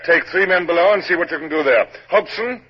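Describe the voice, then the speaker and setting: An actor playing a self-possessed British naval captain who gives brisk, clipped orders in a 1950s radio drama. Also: male voice, a little high, slightly rough. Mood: commanding. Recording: noisy muffled 1950s radio recording.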